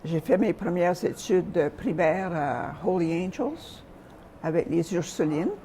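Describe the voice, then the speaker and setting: An older woman from Calgary, Canada, recalling her childhood and early teaching years as an interview guest, speaking softly with frequent hesitations and pauses. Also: on the low side, expressive, slight background noise. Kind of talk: reminiscing in an interview.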